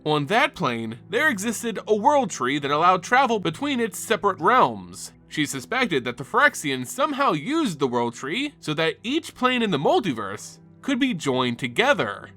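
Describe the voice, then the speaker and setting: A man's voice: high-pitched, huge pitch swings, moderate pace, few pauses, bright, forceful tone. A man hosting and narrating a fantasy lore show, reading a script in a dramatic, emphatic storytelling manner. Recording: background music under the voice. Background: music.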